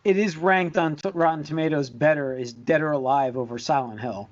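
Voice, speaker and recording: A man's voice: a little high, fairly quick. A man co-hosting a podcast, chatting casually and reading out rankings. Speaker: podcast co-host. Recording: dull, lo-fi voice recording.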